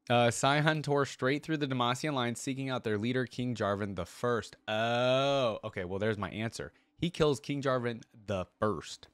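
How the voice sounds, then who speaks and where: A man with a mid-to-low voice works as a reaction host, moving between reading and casual commentary. The sound is very dry and close, with little room around it. He talks conversationally, as if to his viewers. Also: no room sound.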